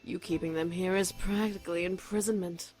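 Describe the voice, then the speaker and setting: A woman's voice: fairly low pitch, steady tone, a little mellow, soft background music, natural voice. A woman playing a haughty, seductive succubus demon in a visual novel game, condescending and teasing as she draws out her words. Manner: condescending, teasing, drawn-out delivery.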